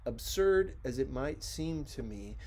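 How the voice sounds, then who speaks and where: A man reading a storybook as an audiobook narrator, with dramatic, deliberate phrasing. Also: mid-range voice, expressive, darkish tone. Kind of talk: expressive scripted storybook narration.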